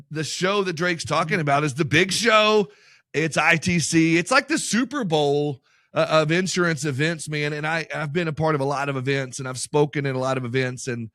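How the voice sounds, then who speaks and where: A man's voice: on the high side, fairly bright tone, no echo. Man, podcast host, enthusiastic, rambling, dry close sound. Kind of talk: podcast interview and ad reads.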